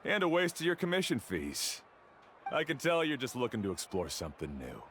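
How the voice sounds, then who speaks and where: A man's voice: mid-range voice, wildly swooping pitch, fairly quick, fairly bright tone, a little hiss or hum. A man playing an arrogant, dismissive expert guide in a video game, with a blunt, condescending manner.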